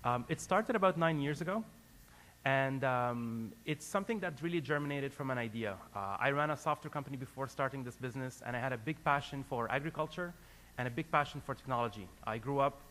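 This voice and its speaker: A man's voice: mid-range voice, a little fast, fairly bright tone, slight background noise, rough recording. A man who grew up in Lebanon, a company founder giving a business presentation to an audience, earnest and hesitant, with many fillers and restarts.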